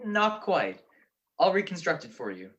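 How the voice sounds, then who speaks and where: A confident radio-drama sleuth, played by a man, witty and wry. The pitch is lively and varied as he sets out his reasoning in an old-time detective style. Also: measured pace, dull audio. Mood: cool, confident. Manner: wry, explaining deductions with cool confidence. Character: witty, self-assured male private detective.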